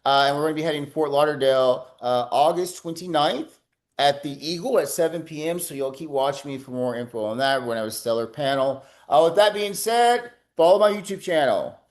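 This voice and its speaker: A man's voice: fairly high pitch, animated, brisk. Chatty male podcast host. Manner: loose, chatty, fond of fillers. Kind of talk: casual interview.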